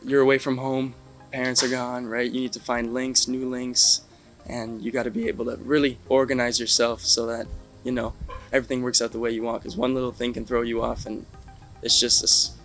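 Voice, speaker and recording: A young man with a level, mid-pitched voice answers questions in a relaxed, conversational way. His delivery stays flat and even, and he keeps checking in with a casual "right?". Faint music plays softly behind him. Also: fairly quick. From Canada, Ontario. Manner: easygoing, tags many lines with "right?". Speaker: interview guest, baseball catcher.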